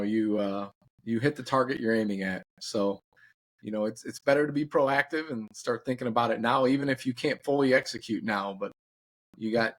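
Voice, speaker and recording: A man of forty giving advice in a casual podcast discussion, plain-spoken and measured with some halting phrasing. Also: medium pitch.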